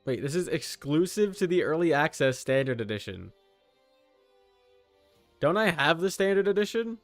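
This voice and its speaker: A man's voice: on the high side, animated, normal speed, dry recording, faint music behind. A man chatting casually and swearing as he livestreams while setting up a game character. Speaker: streamer.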